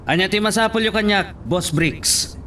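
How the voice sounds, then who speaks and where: A man's voice: high voice, normal speed, distant mic, noisy background, lightly acted. A man with an Ilocano accent playing a stubborn, defiant young police lieutenant in a radio drama. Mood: defiant, angry.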